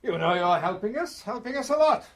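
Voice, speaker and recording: An actor playing a genial, wry police inspector in a radio drama, courteous and indulgent. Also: male voice, sits high, sing-song, brisk.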